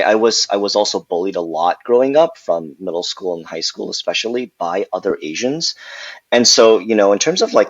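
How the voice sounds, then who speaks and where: A young man from Southern California speaks as an interview guest, his voice very low and his delivery animated and chatty, full of ums and laughs. The sound is dry and a little dull, like a modest lo-fi recording. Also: dry recording. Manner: animated, laughs often, frequent ums and likes. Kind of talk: conversational interview.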